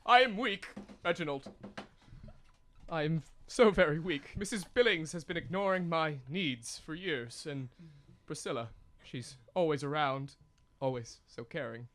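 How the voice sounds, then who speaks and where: Man playing a weepy, higher-voiced guilty businessman. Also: measured pace, fairly bright tone. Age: young adult. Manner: melodramatic, self-pitying. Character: weepy, guilty, lovesick businessman Uncle Monty. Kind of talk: campy radio soap-opera acting. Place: small radio studio.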